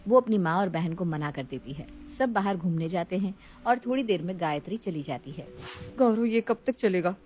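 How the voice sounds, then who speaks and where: A woman's voice: on the low side, lively intonation, fairly quick, a little mellow, soft background music. A woman storyteller reading scripted narration of a moral family story.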